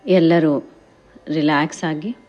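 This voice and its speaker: A woman guides a meditation in gentle, encouraging instructions, addressing listeners as friends and mixing in English words. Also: sits low, steady tone, slow pace, highs cut off. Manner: mixes English words and phrases into speech.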